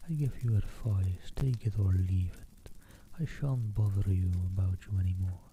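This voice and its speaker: A man's voice: sits low, normal speed. Man doing a lazy offhand cockatrice. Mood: huffy. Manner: soft, hushed and unbothered. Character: lazy offhand male cockatrice lounging in fire. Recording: tinny phone-like line, faint hiss.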